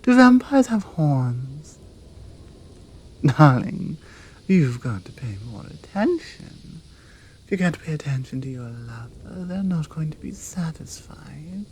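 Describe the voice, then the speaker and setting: Casting: female performer for commanding, flirtatious British monster woman, ASMR roleplay. Unhurried, mellow delivery with teasing whispered drops. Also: low-pitched, a little hiss or hum, full character voice. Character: seductive, commanding horned fanged monster woman. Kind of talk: intimate ASMR roleplay acting.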